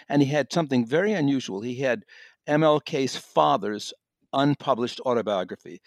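A man's voice: mid-range voice, dry recording. American man reviewing books, dry close sound. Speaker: audiobook reviewer and podcast guest. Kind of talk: conversational review commentary.

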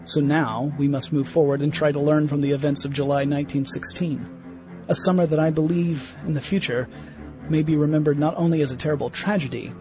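A man's voice: fairly high pitch, steady tone, music in the background, close to an everyday voice. Man acting a formal, learned scientist's diary. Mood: grave, reflective. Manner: formal, measured, scholarly. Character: sober learned 1910s scientist recording his reflections. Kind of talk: radio play diary monologue.

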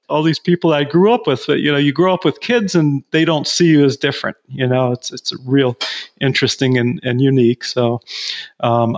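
A man's voice: mid-range voice, vocal fry. Chatty man telling his life story. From Belize. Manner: chatty, laughs often, many restarts and fillers. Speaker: guest, painting and roofing contractor. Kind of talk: casual storytelling interview.

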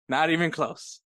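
A man's voice: a little high, dry recording, clean studio sound. A man with an American accent, co-hosting a podcast and telling stories in a casual chat, laughing often. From United States. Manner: laughing often, animated storytelling. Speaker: podcast co-host.

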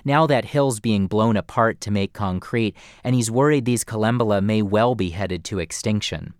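A male radio reporter narrating a scripted feature story in a measured, storytelling delivery. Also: fairly low pitch, no echo, studio quality.

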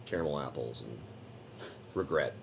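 A man who hosts a comedy show, chatting casually in an interview with playful, deadpan mock-flattery. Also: very deep voice, normal speed, vocal fry, a little hiss or hum.

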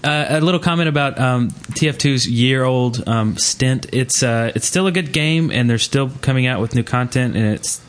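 A man with a middle-pitched voice hosts a casual gaming podcast. He speaks in a halting, rambling way, like someone thinking aloud in informal chat. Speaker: podcast host.

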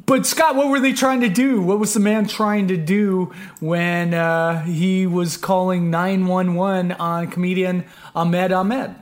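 Man, high voice, podcast host, meandering, casual. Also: fairly even intonation, unhurried, few pauses. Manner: meandering, filler-heavy. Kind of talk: casual chat.

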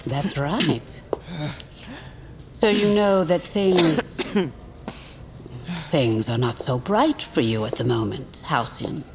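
A woman playing a menacing vulture interrogator in an audio drama, speaking deliberately and theatrically with cold threat. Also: low voice, expressive, background noise, heavily acted. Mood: cold, probing. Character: menacing vulture lord, interrogator and assassin hunter.

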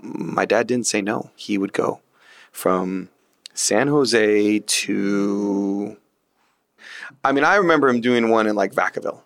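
A man giving a personal reminiscence in a halting, conversational way. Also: on the low side, fairly bright tone. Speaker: interviewee remembering his late father. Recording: music bed behind speech.

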